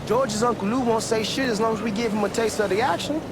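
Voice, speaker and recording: An actor playing a cocky, talkative young hustler with an American Southern accent in a video game, speaking in loose slang. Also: male voice, high voice, fast talker, lightly acted. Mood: persuasive. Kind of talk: acted game dialogue, casual banter. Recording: car engine and street noise behind. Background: moving car ambience.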